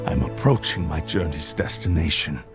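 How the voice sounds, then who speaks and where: An actor voicing an ancient sentient sword, a proud and vengeful villain with a pompous, theatrical delivery, in a video game. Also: male voice, rumbling low, animated, normal speed. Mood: cold, contemptuous. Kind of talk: dramatic video game voice acting. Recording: music behind the voice.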